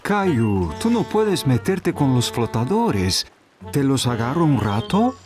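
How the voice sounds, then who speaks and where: A patient cartoon father. He is reassuring and unhurried, his intonation moving widely, with faint music behind him. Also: mid-range voice, sing-song. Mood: kindly. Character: calm, patient father of a small boy, gently firm. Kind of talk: children's cartoon dub acting.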